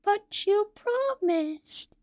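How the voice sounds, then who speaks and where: A woman voicing a bubbly, innocent, excitable young girl performer with a squeaky, gushing delivery in a story reading. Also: moderate pace. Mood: pleading.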